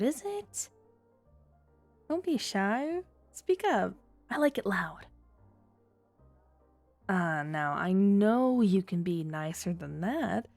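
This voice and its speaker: A woman's voice: a little high, darkish tone. A woman playing a flirty, scheming underworld informant in an ASMR audio drama, with a teasing, coy, mock-sweet delivery. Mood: coy, wheedling.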